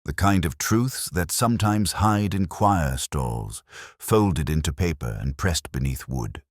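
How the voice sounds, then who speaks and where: A man playing an older, melancholy police inspector, narrating his case in the first person in measured, literary, contemplative phrases. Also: low-pitched, lively intonation, dry recording, studio quality. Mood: reflective, melancholy. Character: older male Oxford police inspector, brooding, reflective, melancholy.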